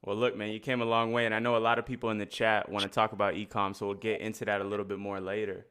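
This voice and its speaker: A man's voice: on the low side, little pitch movement, fast, fairly bright tone, smooth. A man hosting a live chat room in a casual, slangy and encouraging manner.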